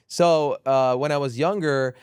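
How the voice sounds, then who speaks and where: A Dutch man in his thirties, a gaming creator chatting casually as a podcast guest, relaxed with lots of fillers. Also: medium pitch, measured pace, clean tone, dry recording, clean studio sound. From southern Netherlands.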